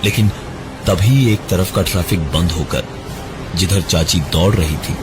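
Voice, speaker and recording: Deep-voiced man narrating horror. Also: over music. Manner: dramatic, halting delivery with suspenseful breaks and repeated words. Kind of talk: dramatic first-person scripted horror narration.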